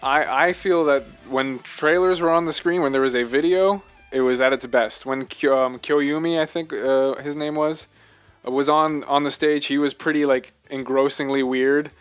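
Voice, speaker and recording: A man co-hosting a podcast, chatting casually about games in a halting, rambling way. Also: mid-range voice, fairly even intonation, clean tone, soft background music. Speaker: podcast co-host. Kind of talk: casual chat, video game discussion.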